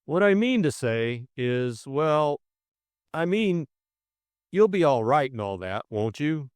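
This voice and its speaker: A man's voice: on the high side, sing-song, slow, a little mellow, clean tone, no echo, clean studio sound, pitched above the performer's own voice. A man voicing an earnest, fretful young upper-class Englishman who bumbles hesitantly through what he means to say in an audiobook. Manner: hesitant, bumbling, repeating himself.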